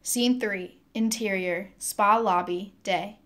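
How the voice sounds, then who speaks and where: A woman's voice: medium pitch, slow, fairly bright tone, faint music behind. A woman narrator reading scene headings and stage directions in scripted narration.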